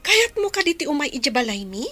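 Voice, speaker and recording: An actress playing a friendly, modest young coworker in a radio drama, with an Ilocano accent. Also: female voice, sits high, very animated, fast, bright, forceful tone, faint music behind, exaggerated voice. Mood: friendly, inviting.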